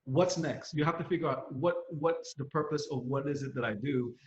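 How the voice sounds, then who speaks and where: A man in his fifties giving fatherly advice in casual podcast talk, halting and repeating phrases as he goes. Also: medium pitch, slight background noise. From Philippines, moved to the US at nine, San Francisco Bay Area. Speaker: podcast host, parent of young adults. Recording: roomy echo, slightly dull sound.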